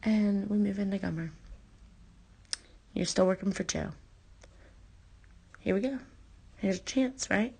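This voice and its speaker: Female, husky with plenty of fry, unscripted intimate memoir read, halting and reflective, addressed to one person. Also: lowish voice, darkish tone. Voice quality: husky, creaky, often dropping to a breathy near-whisper. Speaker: personal storyteller addressing a former partner.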